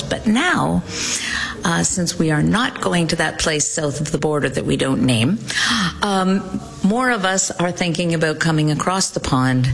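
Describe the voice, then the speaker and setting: Low gravelly lady hosting travel radio, chatty with listeners. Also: low-quality recording. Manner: friendly, addresses listeners directly.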